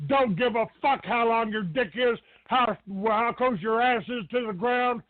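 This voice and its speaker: A man's voice: extremely high pitch, unhurried, no echo. A man hosting a live call-in show in an abrasive, combative, foul-mouthed way. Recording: tinny phone-grade dry audio.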